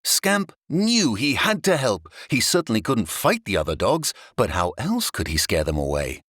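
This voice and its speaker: Casting: male children's narrator, mid-pitched, hugely animated and dramatic, dry sound. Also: moderate pace, dry recording. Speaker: storybook narrator. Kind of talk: scripted children's story narration.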